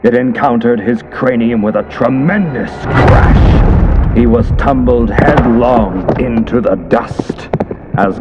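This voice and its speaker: A man's voice: fairly low pitch, heavy background noise. A man narrating a scripted story in the theatrical, suspenseful style of classic radio horror drama, with emphatic delivery. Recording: dull lo-fi audio under loud sound effects. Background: loud sound effects of ambience and horse hooves.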